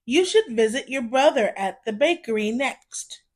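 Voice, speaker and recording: A kindly elf father from a children's storybook, voiced by a woman in her own high register. He offers practical encouragement, slowly and plainly. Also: lively intonation. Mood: encouraging.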